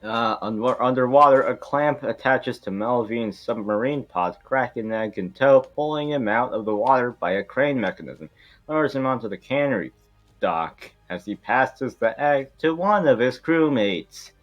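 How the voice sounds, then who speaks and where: A man's voice: medium pitch, lively intonation, moderate pace, dull audio, faint music behind. A man reading stage directions aloud in an amateur script read, sometimes stumbling over the words.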